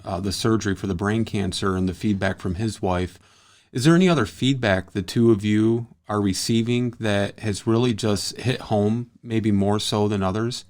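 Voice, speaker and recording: Male host, low voice, affirming interviewer. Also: normal speed, a little mellow. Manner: affirming, brief acknowledgments.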